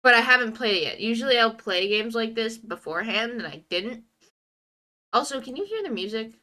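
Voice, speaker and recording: A woman's voice: fairly high pitch, lively intonation, brisk, pushed and bright. A young woman with a congested nose chatting casually on a game stream, drifting into muttered asides to herself. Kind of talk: casual live stream chat while playing a game.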